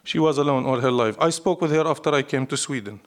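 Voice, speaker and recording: A man's voice: mid-range voice, quick pace. A man from Syria with a Syrian Arabic accent, a native Arabic speaker, answering as a poet in a live interview with emphatic, declarative short phrases. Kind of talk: live interview, reflective storytelling.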